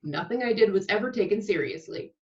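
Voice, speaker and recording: A woman's voice: medium pitch, animated, fairly quick, darkish tone, lo-fi sound, natural voice. A woman playing an exasperated, sardonic television actress in an amateur comedy table read, complaining and sarcastic. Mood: bitter.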